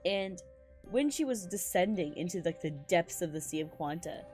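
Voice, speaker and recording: A woman streaming a game with casual, excited commentary, slangy and sweary in an expressive way. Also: fairly low pitch, normal speed, soft background music.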